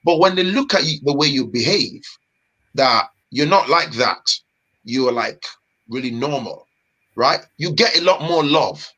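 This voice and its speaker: A man from Nigeria, a guest in a casual chat, talking in an animated, emphatic way with street slang. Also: mid-range voice, a little slow.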